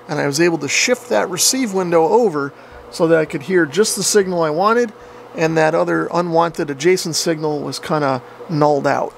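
Higher-voiced man explaining gear over fan hum. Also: gravelly. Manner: explanatory, informal. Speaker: radio hobbyist demonstrating equipment. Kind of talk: casual tutorial narration. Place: indoor workshop with radio gear. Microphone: camera microphone at a short distance. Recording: noisy camera mic with fan hum. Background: cooling fan noise.

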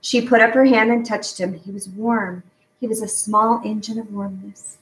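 A woman's voice: medium pitch, fairly even intonation, moderate pace, fairly bright tone. A middle-aged woman reading a children's novel aloud as a storyteller. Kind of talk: read-aloud narration of a children's book. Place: home garage, indoors. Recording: echoey room, distant mic.

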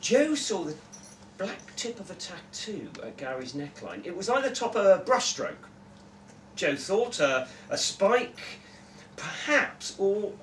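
A young man giving an expressive live reading of a short story in a small room, recorded from a few metres away. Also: sits high, slow pace. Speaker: story reader. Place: small indoor festival room with audience. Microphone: camera a few metres away. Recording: distant, echoey room with background noise.

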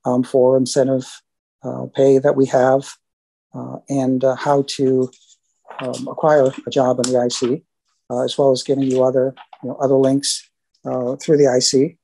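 A man, a government language advisor, giving the closing remarks of a presentation in a halting way, with many fillers. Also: mid-range voice, monotone, gravelly, mellow, a little hiss or hum, rough recording.

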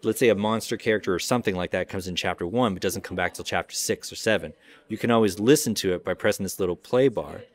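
A man informally demonstrating software in a conversational, explanatory way. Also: sits low, fast talker. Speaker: audio software company representative giving a demo.

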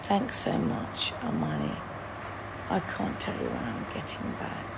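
Hoarse, sleepless woman chatting from bed. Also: a bass voice, wildly swooping pitch, a little slow. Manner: lying in bed, talking to a phone camera, reading out chat. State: hoarse, lost voice; sleep-deprived. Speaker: livestreamer. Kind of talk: casual livestream chat with viewers. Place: bedroom, indoors, in bed. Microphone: phone at arm's length. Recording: fan noise in the background.

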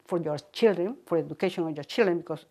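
An older woman from South America talks in a lowish voice. She lays down advice firmly and directly, with a plain, steady delivery. It is a documentary interview recorded indoors. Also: fast talker. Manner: firm, advice-giving.

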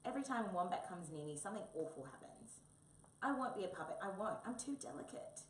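A woman's voice: fairly low pitch, animated, fast, lightly acted. Woman playing a vain, pompous storybook tabby cat. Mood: indignant. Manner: pompous, dramatic. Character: vain, grand, self-pitying male tabby cat. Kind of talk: children's storybook character voice. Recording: roomy echo, faint background noise.

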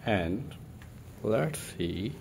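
A man presenting an informal step-by-step tech tutorial in a halting way, thinking aloud as he works. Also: fairly low pitch, creaky. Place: indoors at a computer desk. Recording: steady room noise.

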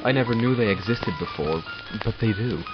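Man playing audio drama doctor, lowish voice, measured log entries, formal, curious, drily wry, slightly muffled recording. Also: lightly acted. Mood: awed, reflective. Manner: measured, formal, dryly sardonic. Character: male doctor logging studies of supernatural captives, curious and wry. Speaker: voice actor. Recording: dull lo-fi recording with music behind. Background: music.

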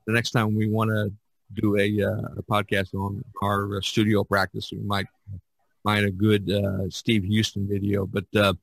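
A man hosting a casual podcast chat, speaking haltingly and often repeating his words. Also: lowish voice, completely flat delivery, creaky, no echo. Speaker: podcast host.

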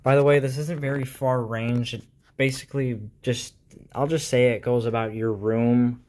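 A man giving an informal tech tutorial in a halting, explanatory way, recorded on a handheld phone in a room at home. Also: mid-range voice, steady tone, darkish tone, a little hiss or hum. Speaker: hobbyist video host. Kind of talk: casual tech tutorial vlog. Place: small indoor room at home.

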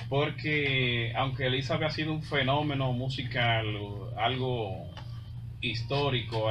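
A Dominican man gives a casual radio interview. He speaks colloquially and emphatically at a middle pitch. The sound is distant, with clear noise behind him. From Dominican Republic. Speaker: bachata musician, radio guest. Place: small radio studio.

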